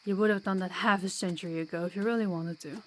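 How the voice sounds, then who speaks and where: A woman's voice: mid-range voice, steady tone, fast talker, a bit bright, a little hiss or hum, lightly acted. Female vampire guide voiced by a woman, mid-range voice, chatty, kind and teasing, for an audio roleplay. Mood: playful, teasing. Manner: chatty, reassuring, playful.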